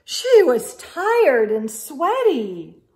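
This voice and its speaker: A middle-aged woman reading a picture book aloud to young children, expressively, with dramatic pauses and exclaimed asides. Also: high voice, wildly swooping pitch, a little mellow. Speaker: children's storytime host. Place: small indoor room with bookshelves.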